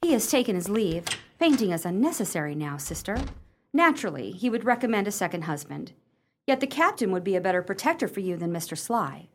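Woman playing a brisk, lively, skeptical sister. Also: medium pitch, slight background noise. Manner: dry, bossy, genteel. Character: brisk, skeptical, practical sister of a widowed lady. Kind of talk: radio play acting.

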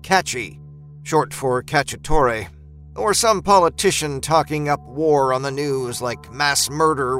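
A man narrating a scripted horror story as an expressive, dramatic storyteller. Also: a little high.

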